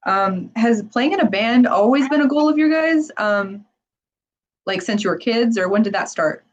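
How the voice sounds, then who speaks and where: A woman's voice: a little high, lively intonation, normal speed, highs cut off. A woman interviewing a band in a casual, upbeat way, often hesitating and using filler words.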